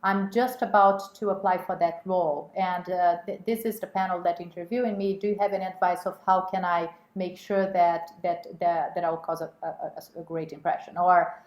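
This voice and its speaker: A woman's voice: lowish voice, fairly even intonation, moderate pace, few pauses, darkish tone. A woman guest, a corporate managing director, talking in an interview with frequent restarts and repeated words. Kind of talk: interview conversation. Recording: roomy echo.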